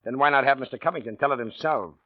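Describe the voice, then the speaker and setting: An actor playing a shrewd police captain in a 1940s radio mystery, questioning in a dryly ironic, insinuating way. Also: male voice, medium pitch, fast, lightly acted. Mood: skeptical. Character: shrewd, wry, probing male police captain. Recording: dull old radio recording.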